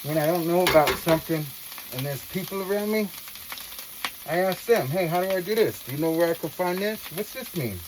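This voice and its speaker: A woman's voice: fairly low pitch, lively intonation, brisk, noisy background. A woman talking casually to viewers in an earnest, reflective monologue, with slangy, profane phrasing. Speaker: vlogger.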